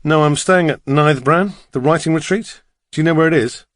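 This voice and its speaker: A man's voice: a little high, normal speed, no echo, clean studio sound, voice pushed up, lightly acted. A man voicing a reserved, terse middle-aged male horror writer in audiobook dialogue. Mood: guarded, polite.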